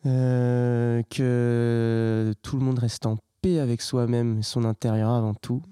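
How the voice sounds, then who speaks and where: A young man, a hip hop artist, talking in a casual interview in a soft-spoken, halting and reflective way. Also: mid-range voice, flat delivery, clean tone, no room sound, studio quality. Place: artists' workshop.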